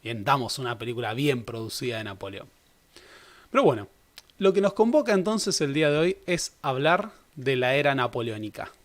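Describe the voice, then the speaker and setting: A young man teaches history in a relaxed, chatty way. His voice sits somewhat high for a man. He often stops to correct himself and drops in jokes as he goes. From Argentina. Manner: conversational, self-correcting with joking asides. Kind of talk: casual history lecture.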